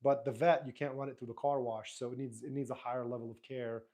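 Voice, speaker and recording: A man whose first language is Arabic, hosting a live stream in a relaxed, rambling way, reading viewer comments aloud and answering them. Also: mid-range voice, fast. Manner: relaxed, rambling, frequent restarts and fillers.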